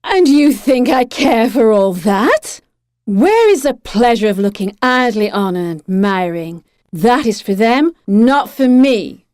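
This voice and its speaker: Woman acting a young siren for radio drama, willful n teasing, highish voice that swoops around. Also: sing-song, takes it slowly, darkish tone, dry recording, studio quality. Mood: proud, cruelly gloating. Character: beautiful young female siren, imperious, capricious, cruelly playful.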